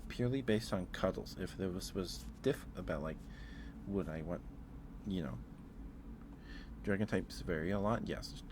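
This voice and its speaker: A man with a low voice speaks softly in a casual ASMR livestream. He rambles a little, hesitating as he goes, and there is steady noise behind him. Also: normal speed, pauses often. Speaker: live streamer and host.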